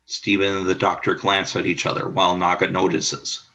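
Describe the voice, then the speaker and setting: Guy narrating radio play stage directions, lowish voice, bright edge. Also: moderate pace. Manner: even, descriptive reading.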